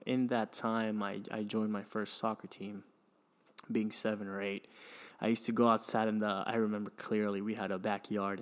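A young man from Venezuela hosting a podcast, telling his life story in a reflective, hesitant way with frequent restarts and sighs. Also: on the low side. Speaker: podcast host.

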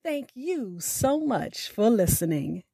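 A woman hosting a podcast, reading scripted announcements in a deliberate, carefully enunciated way. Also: fairly high pitch, big pitch swings, gravelly, warm tone, slightly rough, no echo. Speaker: podcast host.

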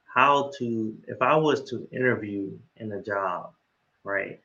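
A man's voice: fairly low pitch, slow, sharp tone, lo-fi sound. A man hosting an interview, talking with enthusiasm and tagging "right?" onto his sentences. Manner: enthusiastic, keeps adding "right?". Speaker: interview host. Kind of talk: casual interview chat.

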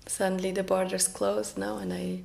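A young woman from Norway, a native Norwegian speaker, telling her story in slow, soft-spoken, thoughtful narration. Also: mid-range voice, little pitch movement, vocal fry. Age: young adult. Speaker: illustration student and project co-founder. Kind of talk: reflective personal narration.

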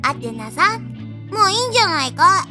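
Cute mischievous little god, piercingly bright, bouncy melody, cutesy sentence endings, audio drama. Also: female voice, very high voice, sing-song, fairly quick, faint music behind, full character voice. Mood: matter-of-fact. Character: cute, cheeky, mischievous childlike messenger god. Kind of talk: cartoonish audio drama acting.

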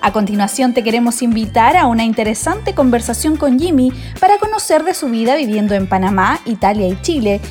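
High-pitched female announcer. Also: lively intonation, fairly quick, few pauses, darkish tone, faint music behind. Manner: polished announcer delivery. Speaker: program announcer. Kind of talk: scripted narration.

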